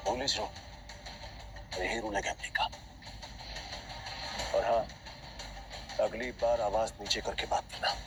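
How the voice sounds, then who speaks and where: A man's voice: sits high, low-quality recording. An actor playing an arrogant, mocking male casino gambler in a Hindi audio drama, taunting and swaggering. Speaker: villain gambler Anthony.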